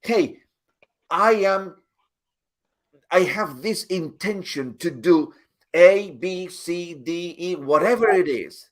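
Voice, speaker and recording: A man's voice: sits high, takes it slowly, long pauses. A man hosting a motivational live talk, speaking earnestly and often repeating his phrases. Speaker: host.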